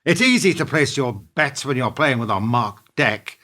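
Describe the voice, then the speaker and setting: An actor playing a weary, reproachful middle-aged business partner in a radio play, speaking in an earnest, measured way. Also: male voice, medium pitch, lively intonation, sharp tone. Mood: firmly argumentative.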